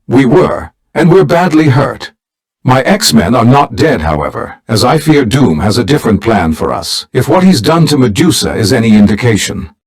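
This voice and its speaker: A man's voice: mid-range voice, very animated, husky. A man acting a dignified, formal older mentor who leads a team of heroes in a video game. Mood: earnest, grave. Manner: formal, measured, grave.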